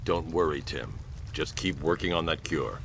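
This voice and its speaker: An actor playing a stern masked vigilante hero in a video game, clipped, stoic and commanding. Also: male voice, extremely low pitch, moderate pace, creaky, fairly bright tone, a little hiss or hum, exaggerated voice. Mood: guarded.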